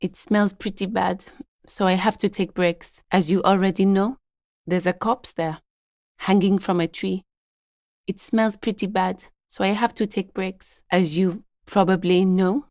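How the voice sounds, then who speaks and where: An actress playing a guarded, matter-of-fact gardener in a video game, with an understated, polite but curt delivery. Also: female voice, medium pitch, fairly even intonation, a little slow, darkish tone, dry recording, studio quality, lightly acted. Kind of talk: game dialogue voice lines, understated and conversational.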